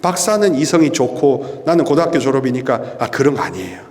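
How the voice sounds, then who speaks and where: Priest preaching in a reverberant hall. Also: male voice, medium pitch. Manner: lively, emphatic preaching with rhetorical questions and drawn-out stresses. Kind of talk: charismatic prayer-meeting sermon. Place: church hall at a prayer meeting. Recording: long reverb of a church hall.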